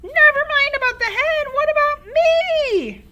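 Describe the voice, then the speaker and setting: A woman reading a children's story aloud, voicing a selfish, bossy old grandmother who cackles and shouts. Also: extremely high pitch, expressive, measured pace, very noisy, voice pushed up. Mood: demanding.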